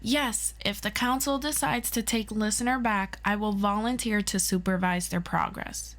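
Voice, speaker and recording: A woman's voice: mid-range voice, steady tone, moderate pace, a bit bright, lightly acted. A woman voicing an eager, protective young hero, a loyal and earnest friend, in an audio roleplay. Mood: eager, relieved.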